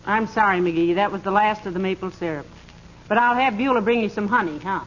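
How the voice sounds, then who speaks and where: Actress as middle-aged wife, dry teasing delivery, patient, 1940s radio comedy, dull noisy recording. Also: female voice, mid-range voice, brisk, lightly acted. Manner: dry, gently sarcastic.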